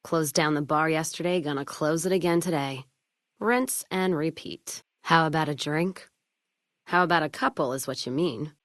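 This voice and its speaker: A woman playing a hard-drinking, sharp-tongued American caravan owner in a post-apocalyptic western game, with blunt, sardonic, folksy profanity. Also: sits low, moderate pace, dry recording, studio quality, close to an everyday voice. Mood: boozy, wry. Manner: blunt, sardonic, profane frontier drawl with folksy turns of phrase. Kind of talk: video game character dialogue.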